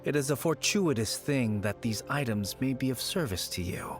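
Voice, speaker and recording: A man playing a serene, wistful and cryptic shrine dweller in a video game, measured and poetic. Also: medium pitch, lively intonation, over music, natural voice. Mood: resigned.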